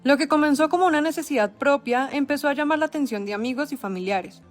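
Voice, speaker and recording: Woman, documentary narrator, measured dramatic delivery, fairly high voice, faint music underneath. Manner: measured, dramatic storytelling cadence. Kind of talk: scripted narration.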